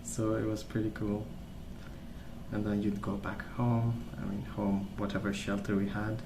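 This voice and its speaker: A man speaking softly as an ASMR host, rambling through stories in a hesitant, pausing, unhurried way. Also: lowish voice, level tone, background noise. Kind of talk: soft-spoken ASMR rambling.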